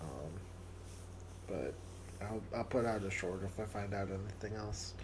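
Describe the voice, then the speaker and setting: A man with a raspy, hoarse voice hosting a casual live stream and closing with a prayer, often stumbling and repeating words. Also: on the low side, measured pace, noisy background. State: hoarse from coughing. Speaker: livestream host.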